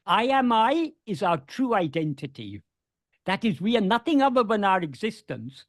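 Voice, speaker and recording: A man explains a spiritual teaching over a video call in an earnest, searching way, often repeating words before going on. His voice sits high and swings widely in pitch. The sound is dry and close. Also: sing-song, normal speed, no echo. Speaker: spiritual teacher answering questions.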